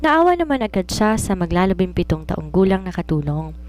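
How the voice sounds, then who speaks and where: Woman, story narrator, scripted romance reading, lowish voice. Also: very animated, brisk, a little mellow.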